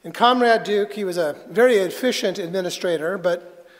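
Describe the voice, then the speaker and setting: A man preaching a sermon as a pastor, telling a personal story earnestly and haltingly, with dramatic pauses. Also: high-pitched. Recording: reverberant hall.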